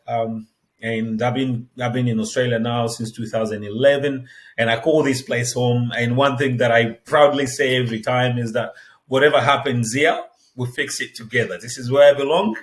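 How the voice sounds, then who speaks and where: A man's voice: medium pitch, steady tone, fairly bright tone. Reflective man from Congo telling his story. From Democratic Republic of the Congo. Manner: measured, reflective, with fillers. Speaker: guest. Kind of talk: telling a life story in an interview. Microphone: headset.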